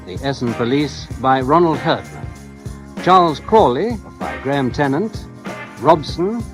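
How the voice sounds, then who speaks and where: Male radio announcer over music. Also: medium pitch. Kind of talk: formal scripted credit announcement.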